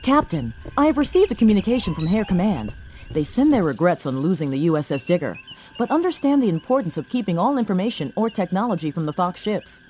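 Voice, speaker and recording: A woman's voice: lowish voice, big pitch swings, fast talker, darkish tone, slight background noise. A woman playing a dutiful rabbit communications officer, delivering formal military reports in a space opera audio drama.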